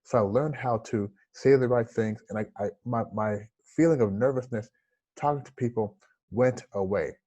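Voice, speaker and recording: A man's voice: medium pitch, moderate pace, warm tone, dull audio. A man in his forties with a slight lisp, an interview guest telling his story in an earnest, reflective way over a video call from home. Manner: earnest, reflective, gestures with hands.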